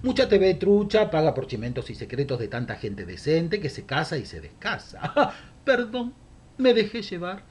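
A man's voice: on the high side, wildly swooping pitch, normal speed, a little mellow, slight background noise. A man in his sixties with a Rioplatense accent, reciting a humorous rhymed monologue at home into a webcam, theatrical and mock-gossipy with chuckling asides. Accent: Rioplatense Spanish. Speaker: monologue host. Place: home room, indoors. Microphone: webcam at desk.

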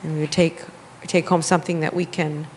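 Flat-toned woman praying in echoey hall. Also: low-pitched, brisk. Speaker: prayer leader before the sermon. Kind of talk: spontaneous prayer. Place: church sanctuary, indoors. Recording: echoey hall with faint noise.

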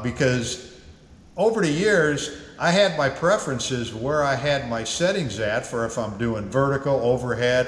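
A man's voice: medium pitch, slight background noise. A middle-aged man teaching auto body welding in a garage workshop, explaining things in a plain, practical, folksy way. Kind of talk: hands-on welding demonstration. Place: garage workshop with red tool chests. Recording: roomy, echoey workshop.

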